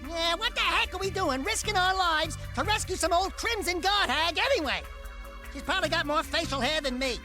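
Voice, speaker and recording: A man's voice: very high voice, brisk. A man voicing a cocky, flirtatious, wisecracking little furry rodent sidekick in a video game. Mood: mocking. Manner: flirtatious, boastful. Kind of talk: animated video game cutscene acting. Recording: loud game music behind.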